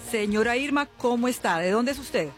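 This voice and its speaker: A woman's voice: on the high side, animated, moderate pace. A woman hosting a radio call-in as a spiritual healer, speaking to callers in a familiar, consoling way. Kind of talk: live call-in psychic consultation and promotion. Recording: music bed behind voice.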